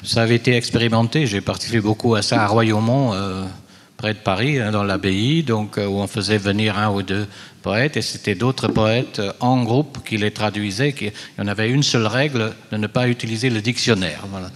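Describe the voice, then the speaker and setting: A man's voice: fairly low pitch, a little fast. A man whose first language is Italian speaks on a round-table panel in a chatty, anecdotal way, often adding "hein". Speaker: panelist, writer. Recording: long hall reverb.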